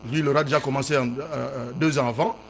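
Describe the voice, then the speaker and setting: A man arguing on a televised political debate panel, loud and insistent, often repeating himself. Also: mid-range voice, fairly even intonation, quick pace, creaky, slight background noise. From Gabon.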